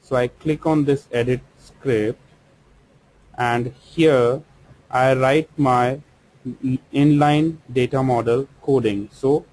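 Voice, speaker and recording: A man with an Indian English accent talks over a screen recording, guiding the listener through each click in a software lesson. He speaks at an unhurried, deliberate pace in a middle-pitched voice, pausing with small fillers as he explains. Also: steady tone, low-quality recording. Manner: slow, step-by-step explaining with fillers. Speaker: software trainer.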